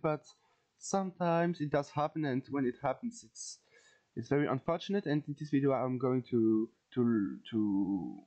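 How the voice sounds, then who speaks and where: A man from France with a French accent gives an informal lesson as a video host, haltingly searching for words and repeating phrases. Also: medium pitch, darkish tone, lo-fi sound. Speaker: chess teacher, video host. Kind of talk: informal instructional commentary.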